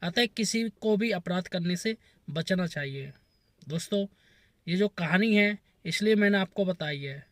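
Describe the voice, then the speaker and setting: A man's voice: sits high, unhurried, no echo. A man hosting a channel, earnestly addressing viewers with advice in a moralizing outro style. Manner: earnest, advising. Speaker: channel host.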